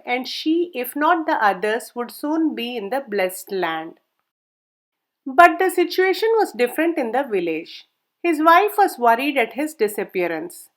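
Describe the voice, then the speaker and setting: A woman narrates a storybook tale in a high-pitched voice. Her delivery is very lively, rising and falling widely as she reads the scripted lines. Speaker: storyteller, reader.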